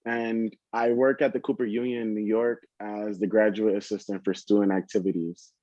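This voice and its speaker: Young man with a dark, low voice. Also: little pitch movement, moderate pace, no echo. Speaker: alumni panelist. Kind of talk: video call panel introduction. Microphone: video call. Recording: dull lo-fi call audio.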